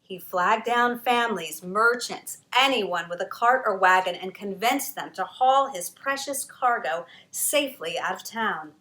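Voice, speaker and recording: A woman author reading her own picture book aloud at storytime, expressive, with dramatic pauses. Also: mid-range voice, low-quality recording.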